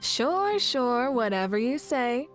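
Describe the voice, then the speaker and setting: A righteous woman leader from a fantasy game. Her voice sits a little high, composed and sure of itself. A dry, teasing streak runs under the authority, and music plays behind her. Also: sing-song, slow. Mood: wry, light. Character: confident righteous woman war leader, dryly playful. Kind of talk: video game voice acting.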